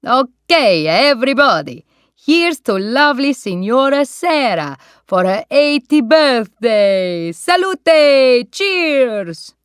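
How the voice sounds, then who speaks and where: A beaming middle-aged restaurant owner gushes in broken English, his voice bouncing up and down with hospitality, as a woman reading a story puts him on. It is a slow, dry storybook reading. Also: mid-range voice, very animated, no echo. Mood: jovial.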